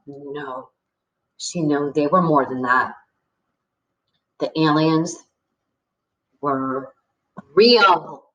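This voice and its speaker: Female storyteller for an expressive novel read-aloud; lowish voice, roomy and distant sound. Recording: echoey room, distant from the mic.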